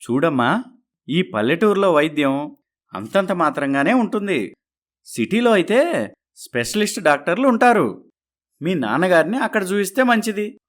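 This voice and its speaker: A man's voice: high-pitched, very animated, moderate pace, long pauses. An actor voicing an ordinary man in a dramatised moral story. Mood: advising. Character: men around the heroine: doctor, boutique owner, meek husband.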